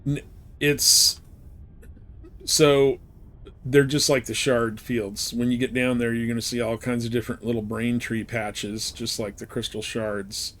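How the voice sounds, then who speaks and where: Male streamer, mid-pitched voice, off-the-cuff gameplay talk, loosely explaining things in everyday language. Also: level tone, unhurried, fairly bright tone. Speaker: game streamer. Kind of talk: casual live gameplay commentary and advice.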